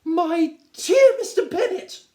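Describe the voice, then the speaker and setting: A man voicing a fussy, excitable middle-aged wife, gushing and plaintive, in a theatrical reading of a classic novel. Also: very high-pitched, takes it slowly, pitched above the performer's own voice, full character voice. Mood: eager, insistent. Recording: roomy, echoing indoor sound.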